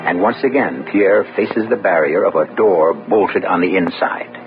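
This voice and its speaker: A man hosting a radio drama with ominous, measured, scripted suspense narration. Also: low voice. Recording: dull old radio broadcast. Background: loud dramatic music.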